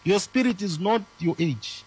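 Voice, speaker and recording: A man's voice: high-pitched, expressive, a little slow, darkish tone, husky, low-quality recording. A man in his thirties, explaining spiritual ideas in an earnest teaching manner, with many rhetorical questions. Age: 30s. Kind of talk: conversational teaching.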